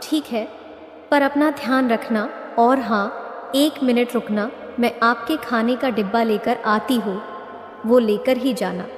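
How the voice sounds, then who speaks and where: A woman's voice: fairly high pitch, a little slow, natural voice. A woman playing a supportive, caring village wife in a cartoon story, polite and encouraging. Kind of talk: Hindi moral-story cartoon voice acting. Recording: echoey reverb with faint music.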